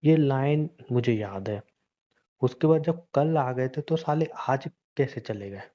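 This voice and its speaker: A man's voice: medium pitch, expressive, unhurried, warm tone. A young man chatting casually with his girlfriend in a teasing, unbothered way. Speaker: boyfriend on a phone call. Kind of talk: casual relationship chat. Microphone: phone. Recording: dull, muffled phone-call recording.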